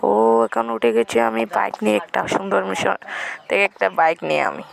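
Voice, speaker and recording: A woman's voice: mid-range voice, a little fast, creaky. A woman giving casual video game commentary, narrating each of her actions in short, repetitive phrases. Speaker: gamer presenting a gameplay video. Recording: noisy recording with vehicle and siren sounds.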